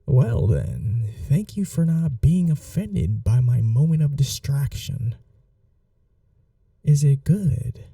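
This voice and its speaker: A man playing a gentle, old-world vampire gentleman in an intimate ASMR roleplay, speaking in a polite, soothing, softly formal way. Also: medium pitch, sing-song, takes it slowly, gravelly, no echo, recorded from a distance, exaggerated voice. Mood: courteous, lightly amused.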